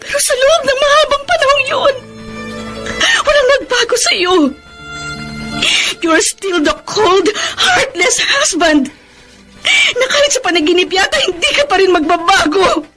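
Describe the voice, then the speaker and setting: A woman's voice: very high voice, animated, over music, low-quality recording. A woman with a Filipino accent playing a weary, long-suffering middle-aged wife in a radio drama, bitter and emotional, drawing out her words. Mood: tearful anguish. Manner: tearful, drawn-out emotional delivery. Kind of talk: Tagalog radio drama acting with English mixed in.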